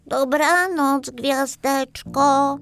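A woman voicing a gentle, slightly anxious, sleepy little girl in a children's bedtime story, childlike and expressive. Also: sits high, extremely slow, gravelly, over music, pitched above the performer's own voice, exaggerated voice. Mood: relieved, sleepy.

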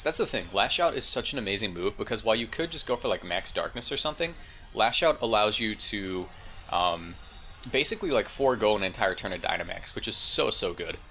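Male gaming host, lowish and slightly congested, informal thinking-aloud play commentary, faint music underneath. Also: pushed and bright. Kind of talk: casual gameplay commentary.

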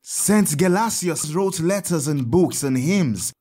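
A kind scholarly priest in an audio drama, slow patient teacher, really expressive pitch. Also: male voice. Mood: storytelling. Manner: patient, explanatory, measured.

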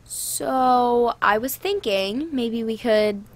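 A woman's voice: fairly high pitch, slow, no room sound. A woman acting a neglected, confrontational middle-aged wife and mother in an audio drama. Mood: tentative.

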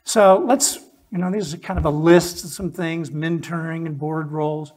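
A man in his sixties with a husky voice, giving a conversational keynote talk on stage, stressing his key words. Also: fairly high pitch, fairly even intonation, moderate pace, darkish tone. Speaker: keynote speaker at a charity event. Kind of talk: public keynote presentation. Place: stage in an event hall with a PA, indoors.

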